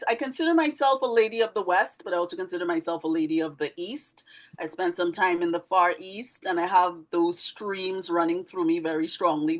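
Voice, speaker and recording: A woman's voice: medium pitch, a bit bright. A woman from Trinidad with a Trinidadian accent, answering interview questions in an articulate, explanatory way and correcting herself as she goes. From Trinidad and Tobago. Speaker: interview guest, teacher and poet.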